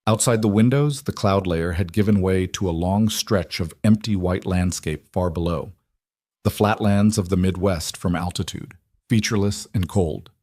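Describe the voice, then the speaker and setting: Guy narrating a suspense audiobook, low voice, really dry close sound, measured and deliberate. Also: darkish tone, no echo. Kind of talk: scripted dramatic narration.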